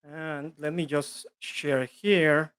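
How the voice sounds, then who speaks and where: A man's voice: a little high, fairly even intonation, extremely slow, fairly bright tone, smooth. A middle-aged man with a Mexican accent, a native Spanish speaker, giving a live online business training in an earnest way. He often corrects himself and goes through points like a list.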